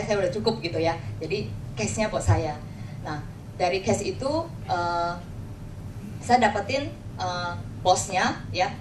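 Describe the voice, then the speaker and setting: Jakarta woman speaking in an echoey, noisy room. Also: medium pitch. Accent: Indonesian, Jakarta. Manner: conversational, acts out quoted dialogue, frequent tag words seeking agreement. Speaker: insurance agency leader giving a talk. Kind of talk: informal motivational talk with personal anecdotes. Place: indoor room before an audience. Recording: echoey room, distant mic, noisy background.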